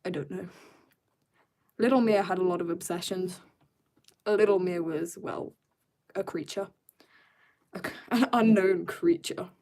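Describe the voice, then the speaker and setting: A teenage girl from South Africa chatting casually to her viewers while she draws, rambling and joking at herself, sometimes dropping to quiet mutters. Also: on the low side, measured pace, long pauses. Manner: chatty, rambling, self-mocking asides. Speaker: art streamer. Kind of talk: casual chat while drawing.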